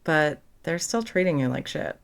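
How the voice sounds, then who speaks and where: A woman's voice: sits low, expressive, moderate pace, gravelly. A young woman playing a caring, protective best friend in a conversational comfort roleplay, supportive and blunt. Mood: protective, indignant. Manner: casual, supportive, blunt, sweary.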